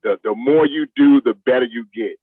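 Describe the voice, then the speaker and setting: A man's voice: medium pitch, moderate pace, slightly rough, no room sound, phone-quality sound. A man in his fifties, a veteran actor, chatting casually and plainly as an interview guest. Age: 50s. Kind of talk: casual chat.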